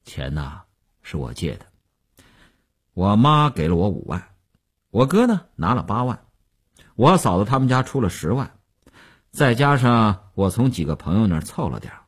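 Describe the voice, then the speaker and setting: A man's voice: on the low side, sing-song, husky, pitched below the performer's own voice. A man playing a smug, boastful stock speculator who cajoles his partner in chatty audiobook dialogue. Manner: chatty, cajoling, with drawn-out particles and chuckles.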